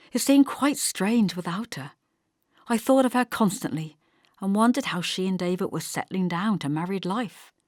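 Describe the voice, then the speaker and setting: A woman's voice: lowish voice, no echo, clean studio sound. A woman reading scripted first-person literary narration as an audiobook narrator.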